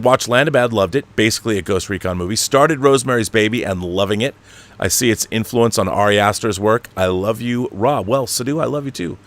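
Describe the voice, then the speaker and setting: A man's voice: mid-range voice, fairly bright tone. A man hosting a casual livestream, rambling with emphatic, opinionated fan enthusiasm and the odd casual swear. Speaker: online show host. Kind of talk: casual livestream monologue and chat reading.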